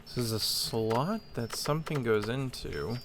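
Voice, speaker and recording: A man's voice: medium pitch, expressive, a little slow, background noise. A man giving casual let's-play commentary, musing aloud as he thinks through puzzles. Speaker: gaming host, player. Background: game music.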